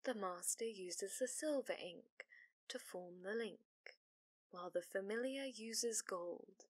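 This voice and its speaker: A woman playing a possessive, devoted fox-spirit familiar in an ASMR roleplay, speaking intimately and often slipping into breathy whispers. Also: medium pitch, slow, no echo. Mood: insistent, coaxing. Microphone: close studio microphone, dry.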